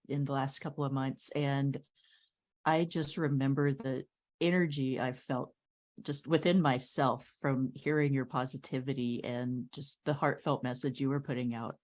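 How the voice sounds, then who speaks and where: A woman hosting a podcast, introducing her guest warmly and enthusiastically. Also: very deep voice. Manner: warm, admiring, enthusiastic. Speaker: podcast host. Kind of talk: interview host.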